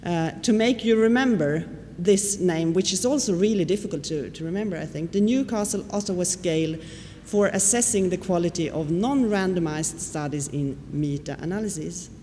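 A woman whose first language is Swedish, giving a conference lecture and often pausing on fillers. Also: fairly low pitch, warm tone. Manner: explanatory, with frequent fillers and questions to the audience. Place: lecture hall. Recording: reverberant hall with faint noise.

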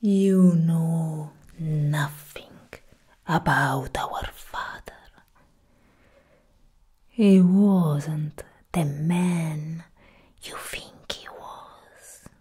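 A young woman playing a proud, menacing goddess of death in a soft-spoken ASMR roleplay, breathy and whispered, close to the mic. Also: deep voice, exaggerated voice. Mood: bitter. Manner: slow, deliberate, menacing. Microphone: close ASMR microphone.

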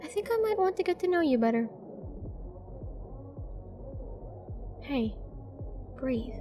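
A woman's voice: high-pitched, sing-song, fairly quick, warm tone, faint music behind. A woman playing a confident, flirty and dangerous mercenary in a nightclub roleplay audio drama, teasing and commanding as she addresses the listener. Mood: seductive, assured.

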